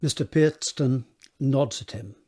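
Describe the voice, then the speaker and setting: A man narrating scripted stage directions for an audio drama in a measured, descriptive reading. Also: medium pitch, a little mellow, slight background noise.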